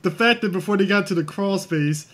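A man with a high voice recaps movie scenes on a podcast, stumbling over repeated words before pushing on emphatically. Casual, talky delivery. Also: fairly quick, fairly bright tone. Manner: stammering, emphatic storytelling. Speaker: podcast co-host. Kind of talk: casual movie-review chat.

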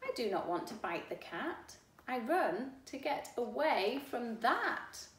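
A woman's voice: fairly high pitch, big pitch swings, takes it slowly, roomy sound. A woman voicing a worried, defensive dog on the run in a children's storybook. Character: worried dog fleeing a pig, protesting innocence.

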